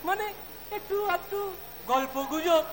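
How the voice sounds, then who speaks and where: A man's voice: very high-pitched, unhurried. A man acting a dandyish, ingratiating middle-aged neighbour, in an amateur audio drama. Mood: wheedling, flattering. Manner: hesitant, ingratiating. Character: dandyish, wheedling middle-aged male neighbour, quick to take offence. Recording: echoing hall, faint hiss or hum.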